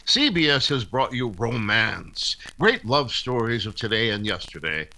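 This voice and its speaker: A man's voice: mid-range voice, sing-song, unhurried, vocal fry. A man reading scripted announcements and narration in the style of a 1940s radio announcer. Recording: music under the voice.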